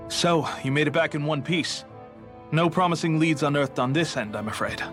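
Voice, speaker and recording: Higher-voiced male resistance leader acted by a man. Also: moderate pace, a bit bright, soft background music, lightly acted. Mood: measured, concerned. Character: calm, authoritative male resistance leader, protective of comrades. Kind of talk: video game cutscene acting.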